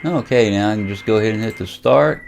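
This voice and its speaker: An American man giving a casual, unscripted software walkthrough as a hobby vlogger, halting as he thinks aloud. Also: on the low side, gravelly, slight background noise. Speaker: amateur radio vlogger demonstrating software. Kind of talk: casual unscripted screen tutorial.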